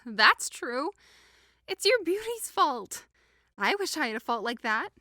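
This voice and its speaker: A woman's voice: high voice, big pitch swings, unhurried, pushed and bright, dry recording, clean studio sound, full character voice. A woman playing a lovesick, envious young woman in an audio drama, with a dramatic, self-pitying manner. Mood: bitter lament. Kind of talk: modernized Shakespeare audio drama acting.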